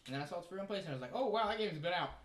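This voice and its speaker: A man's voice: high-pitched, expressive, racing. A man co-hosting a podcast in casual panel chat, recorded with roomy echo and some noise. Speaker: co-host of a gaming news podcast.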